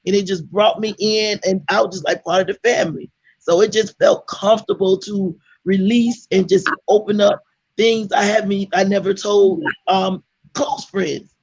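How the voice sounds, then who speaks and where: A hoarse woman guest speaking over a video call, earnest and soft-spoken, often repeating herself. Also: medium pitch, steady tone, moderate pace. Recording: dull lo-fi call audio.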